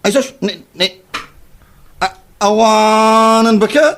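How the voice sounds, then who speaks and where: A man's voice: sits high, fairly even intonation, slow pace, a bit bright. A man playing a boastful, debt-ridden Ilocano man who whines and stammers in a comedy drama. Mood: flustered, panicky. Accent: Filipino, Ilocano. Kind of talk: comedy audio drama acting.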